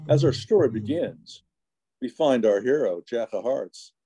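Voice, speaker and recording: A man's voice: mid-range voice, animated, measured pace, loud music behind the voice, low-quality recording. A man playing a radio announcer, presenting a show and its commercials in folksy old-time radio style. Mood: upbeat, presenting.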